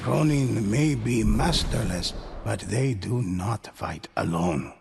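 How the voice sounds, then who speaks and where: An actor playing a mysterious, solemn storyteller of samurai legends, narrating a video game in a measured, portentous way over music. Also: male voice, lowish voice, animated, gravelly, very noisy, heavily acted. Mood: grave, ominous. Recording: dramatic music under the voice. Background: loud music.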